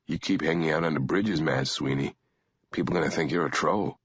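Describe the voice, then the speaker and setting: A man voicing a calm, weary, patient male protagonist in a storybook, speaking gently and laconically in a low, husky voice. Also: a little fast, dry recording, dull audio. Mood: gentle, dry.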